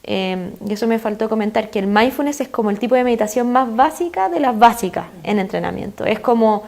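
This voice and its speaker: A woman's voice: a little high, expressive, fairly quick, gravelly, a little mellow. A woman with a Chilean accent, a guest expert in an interview, explaining earnestly with frequent fillers. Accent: Chilean Spanish.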